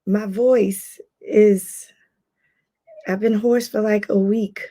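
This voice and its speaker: A hoarse woman, a life coach, hosting a casual livestream and greeting viewers by name. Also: medium pitch, fairly even intonation, takes it slowly, warm tone.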